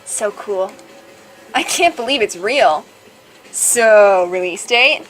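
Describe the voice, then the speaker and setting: A woman's voice: mid-range voice, animated, takes it slowly, a bit bright, poor audio. A woman reading visual novel dialogue aloud as a let's play host, playful and casual in her delivery. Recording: music behind.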